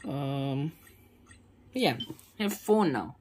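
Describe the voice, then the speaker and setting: A woman's voice: a bass voice, animated, drawn-out words, darkish tone. A woman giving casual gaming commentary, hesitant and thinking aloud. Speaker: gaming streamer.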